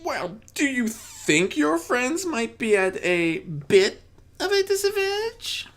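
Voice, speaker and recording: A man's voice: extremely high pitch, very animated, a bit bright, voice pushed up, heavily acted. A man voicing a playful male chaos spirit, a theatrical trickster who teases and draws out his phrases. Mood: teasing. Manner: theatrical, teasing, drawn-out phrasing.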